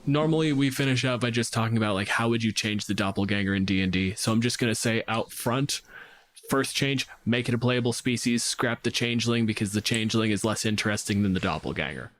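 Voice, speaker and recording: A man's voice: fairly low pitch, fairly quick, fairly bright tone. A man hosting a casual podcast discussion on tabletop games, analytical and informal, with swearing mixed in. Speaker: podcast host.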